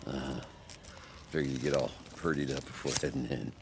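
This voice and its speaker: An actor with an American accent playing a stubborn, ailing biker in a video game, gruff, slurred and gravelly. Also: male voice, a bass voice, fairly even intonation, normal speed, background noise. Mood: groggy, wry. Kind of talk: naturalistic video game acting.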